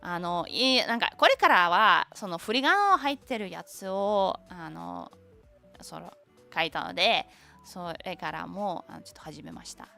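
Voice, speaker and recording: Creaky-voiced woman chatting haltingly. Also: lowish voice, lively intonation, pushed and bright, faint music behind. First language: Tatar. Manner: halting, searching for words, many fillers; switches between Japanese and English. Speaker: streamer. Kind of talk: casual chat stream. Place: lives in Japan.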